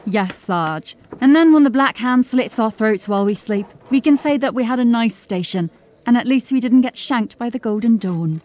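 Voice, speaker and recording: A woman's voice: a little high, animated, moderate pace, a little mellow, close to an everyday voice. A woman playing a dry, sceptical constable of a fantasy city watch in an audio drama. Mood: dry, doubtful. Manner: questioning, dry.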